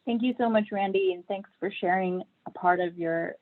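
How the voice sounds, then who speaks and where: A woman's voice: medium pitch, normal speed. A woman moderating an online panel, friendly, passing the floor to the next speaker. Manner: friendly, with fillers. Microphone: video call. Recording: tinny phone-like call audio.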